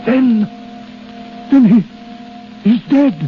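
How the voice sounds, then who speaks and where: An actor in a 1930s radio drama playing an anxious aristocratic master of the house, speaking in an urgent, agitated way. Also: male voice, very high voice, drawn-out words, lo-fi sound, exaggerated voice. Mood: stunned, halting. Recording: band-limited 1930s radio broadcast, music behind. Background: music.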